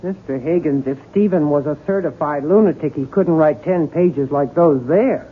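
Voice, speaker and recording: A woman's voice: low voice, moderate pace, lightly acted. Casting: actress as a blunt, loyal, no-nonsense mother for radio drama, with muffled broadcast sound and faint hiss. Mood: matter-of-fact. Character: plain-spoken, practical mother, blunt and loyal. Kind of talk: 1970s radio drama acting. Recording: hissy 1970s radio broadcast, dull band.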